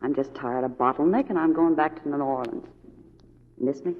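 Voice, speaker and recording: A woman's voice: low-pitched, normal speed. An actress playing a sultry, worldly saloon woman in 1940s radio drama style. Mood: coy, inviting. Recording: dull old radio recording.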